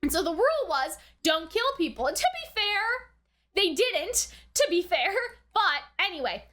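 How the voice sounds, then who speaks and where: A woman's voice: very high voice, big pitch swings, measured pace, sharp tone. A woman giving casual, chatty review commentary as a reaction host, often checking in with informal tag questions. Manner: chatty, informal, often tags sentences with "right?" and "you know".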